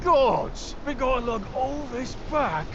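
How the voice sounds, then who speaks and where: A dim-witted, whiny game underling. The high voice bounces widely and drags along slowly, halting and quarrelsome. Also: male voice, expressive, noisy background, exaggerated voice. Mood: whiny, irritable. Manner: halting, bickering. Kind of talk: video game cutscene acting. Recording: muffled audio under music.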